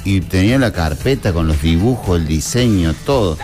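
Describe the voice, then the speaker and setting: A man's voice: sits low, animated, normal speed, mellow, faint music behind. A man co-hosting a radio chat, telling joking anecdotes. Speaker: radio co-host.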